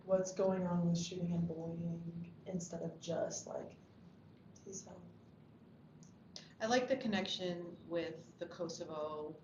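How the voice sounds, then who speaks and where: Woman, lower-pitched, casual discussion, tentative informal phrasing, dull muffled sound, echoey room. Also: steady tone, measured pace, noisy background. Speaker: table read participant giving script feedback.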